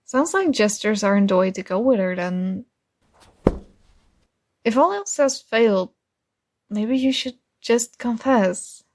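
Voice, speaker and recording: A woman's voice: on the high side, lively intonation, takes it slowly, pauses often, darkish tone, full character voice. A woman playing a kind, earnest and oblivious female friend in an intimate ASMR roleplay, speaking casually and a little hesitantly. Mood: casual, encouraging. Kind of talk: ASMR roleplay, intimate one-sided dialogue.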